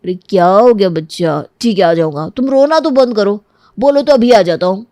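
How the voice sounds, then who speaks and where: A woman's voice: mid-range voice, expressive, moderate pace, warm tone, no echo, natural voice. A woman voicing a doting, earnest young fiancé in a cartoon, a coddling mama's boy. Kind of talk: Hindi cartoon story acting.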